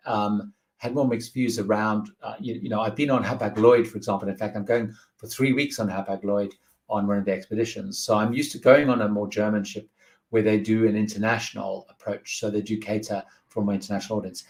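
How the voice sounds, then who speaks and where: Male livestream host, low-ish voice, relaxed rambling delivery with lots of fillers, reads viewer questions and answers them off the cuff. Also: a little fast. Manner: rambling, many fillers and restarts, friendly advice. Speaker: host of a live cruise Q&A.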